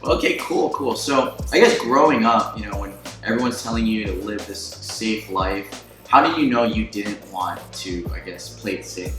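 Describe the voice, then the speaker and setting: A man in his twenties hosting a casual sit-down interview, excitable, laughing often and full of fillers. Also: fairly low pitch, normal speed, few pauses. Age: 20s. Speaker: host. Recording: echoey room with music behind.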